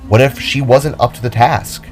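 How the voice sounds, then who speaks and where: A man reading a scripted story aloud as a narrator, in a solemn, dramatic way with pauses. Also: mid-range voice, steady tone, faint music behind. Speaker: narrator and reader of own audio drama.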